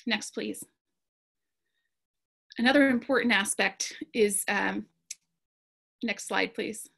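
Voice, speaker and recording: A woman's voice: mid-range voice, slow pace, vocal fry, fairly bright tone. A woman presenting her slides to an online conference panel. She speaks earnestly, with frequent fillers and restarts.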